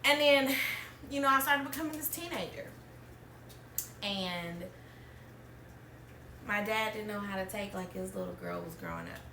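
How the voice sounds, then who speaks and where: Bright-voiced woman in her thirties. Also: mid-range voice, very animated, moderate pace, pauses often, slight background noise. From Alabama, US. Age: 30s. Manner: chatty, laughs often, trailing restarts. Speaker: podcast host. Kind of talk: candid storytelling chat. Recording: roomy, distant mic.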